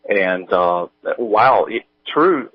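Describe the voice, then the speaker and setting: A man chatting casually as an interview guest, thinking aloud in a halting, rambling way. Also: lowish voice, expressive, dry recording, lo-fi sound. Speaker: guest, audio drama writer and producer.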